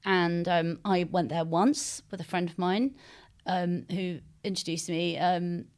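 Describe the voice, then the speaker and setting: A woman's voice: on the low side, steady tone, unhurried, a little mellow. A woman from East London with an English accent, answering interview questions as an author in a discursive, hesitant, conversational way. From East London, England.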